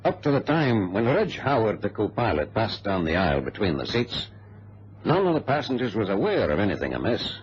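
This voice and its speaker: A man playing a passenger who gravely narrates a radio drama, heard on a muffled old recording. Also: lowish voice, sing-song, a little fast, heavily acted. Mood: grave recounting. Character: male passenger gravely recounting a hijacked flight.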